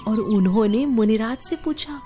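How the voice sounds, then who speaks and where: A woman's voice: fairly high pitch, normal speed, mellow. A woman with an Indian accent telling moral stories to children in an explanatory, teacherly way. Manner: explanatory, asks the listeners questions and glosses terms. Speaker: storyteller for children. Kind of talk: animated moral storytelling for children. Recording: music behind the voice. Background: music.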